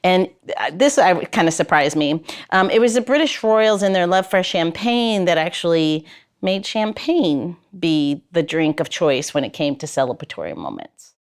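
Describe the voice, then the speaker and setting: A woman's voice: on the low side, animated, moderate pace. A woman hosting a podcast in a chatty, playful way, moving between banter and loosely scripted segments. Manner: playful, prone to chuckling. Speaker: podcast host.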